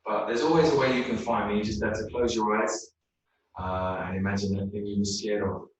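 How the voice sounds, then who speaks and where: A man's voice: on the low side, fairly even intonation, brisk, slight background noise, natural voice. A man voicing a friendly, chatty male sea turtle in an easygoing, hesitant way. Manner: easygoing, hesitant with little fillers. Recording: very echoey room, mic far off.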